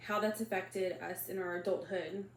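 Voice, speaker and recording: A woman's voice: fairly low pitch, fairly even intonation, moderate pace. A woman in her thirties from Texas co-hosting a casual podcast, talking in a playful, joking way. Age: 30s. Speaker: podcast co-host. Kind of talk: casual chat. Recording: echoey room, faint noise.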